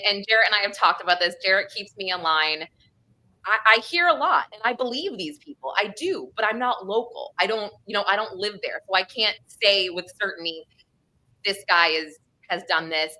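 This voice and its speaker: Bright, low-pitched American woman co-host. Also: low-quality recording. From Northeastern United States. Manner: chatty, informal. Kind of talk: casual true crime discussion.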